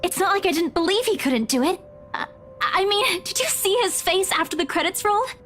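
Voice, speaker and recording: A woman's voice: very high-pitched, animated, fairly quick, fairly bright tone, a little hiss or hum. An actress in a visual novel playing an anxious, caring, chatty young woman who stammers and pleads. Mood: eager, flustered. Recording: music behind the voice.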